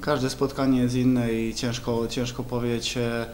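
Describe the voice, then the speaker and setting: A young man, a football player, answering questions at a press conference, halting with many repeated words and fillers. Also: mid-range voice, level tone, noisy background. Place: club press room, indoors. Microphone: table mics in front.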